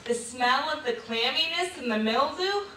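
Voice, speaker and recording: A woman acting an animated, high-voiced old lady. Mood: guarded, dismissive. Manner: hesitant, confiding, animated storytelling with dramatic pauses. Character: reticent, embarrassed old lady reminiscing about her youth. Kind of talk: theatrical stage monologue. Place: live stage performance in a hall. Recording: distant mic in echoey room, noisy.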